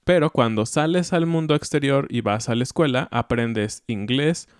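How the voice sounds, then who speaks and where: A man with a Mexican accent co-hosting a podcast, explaining language topics in a calm, reflective way. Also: mid-range voice, moderate pace, clear, resonant tone, no echo, studio quality. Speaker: podcast co-host. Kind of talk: educational podcast chat.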